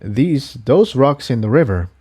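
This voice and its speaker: A man's voice: medium pitch, very animated, a little slow, mellow, slightly rough. A man voicing a practical, protective young male pony adventurer in a storybook reading, plain and advising. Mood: thoughtful.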